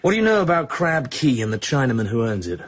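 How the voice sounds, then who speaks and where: An actor in a radio drama playing a cool, commanding British secret agent who asks dry, controlled questions. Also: male voice, mid-range voice, big pitch swings, quick pace, slightly rough, no room sound. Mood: calm, dry. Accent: British English.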